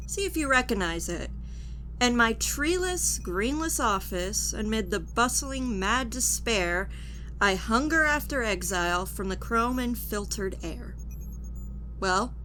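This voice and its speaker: A woman's voice: a little high, lively intonation, a little slow, pushed and bright, slight background noise, low-quality recording. A woman playing a stern, contemptuous military judge in a futuristic audio drama, speaking in a clipped, officious and coldly formal manner. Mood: cold, interrogating. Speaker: actor. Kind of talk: audio drama acting, formal courtroom interrogation.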